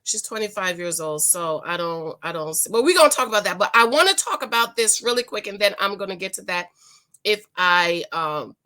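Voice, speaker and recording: A hoarse woman with a raspy voice, giving preachy, emphatic relationship commentary straight to her viewers. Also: medium pitch, animated, fairly quick, pushed and bright. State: hoarse, voice still recovering. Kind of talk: casual talk to camera.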